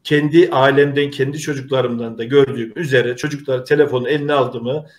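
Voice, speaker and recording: A middle-aged man, hosting an online community show in an earnest, halting, polite way. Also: medium pitch, fairly even intonation. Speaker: host of a community video show. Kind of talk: informal presenting to an online audience.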